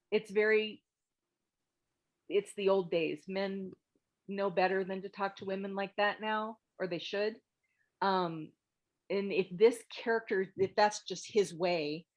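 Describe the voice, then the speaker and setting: A woman hosting an online group critique, giving frank, thoughtful script feedback in a halting, casual way over a video call. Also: mid-range voice, dull audio. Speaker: host of a table read meet-up giving script feedback. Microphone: video call microphone.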